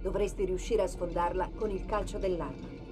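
A woman voicing a calm, precise military AI companion with dry wit in a video game. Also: lowish voice, normal speed, over music, close to an everyday voice. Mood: composed, urgent. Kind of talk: video game voice acting, tactical briefing.

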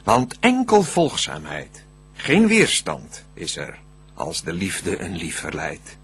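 An actor playing a cunning, smooth-tongued devil in a Dutch verse radio drama, declaiming deliberately with a husky voice. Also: male voice, deep voice, wildly swooping pitch, fairly bright tone, heavily acted. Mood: persuasive, scheming. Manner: theatrical, scheming, deliberate declamation. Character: cunning, smooth-tongued male devil plotting to tempt mankind.

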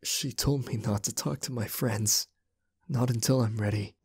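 A young man playing a troubled, brooding college student, hesitant and introspective, in an animated roleplay drama. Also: mid-range voice, normal speed, a little hiss or hum, close to an everyday voice.

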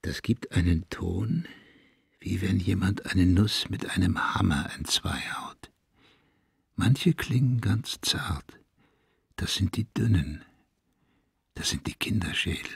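An actor playing a deranged, vengeful madman in a German radio play, hushed and husky, savoring his cruel fantasies word by word. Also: low voice, slow, pauses often, no echo, clean studio sound, heavily acted. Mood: rapt, gloating. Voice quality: husky, gravelly, breathy whisper.

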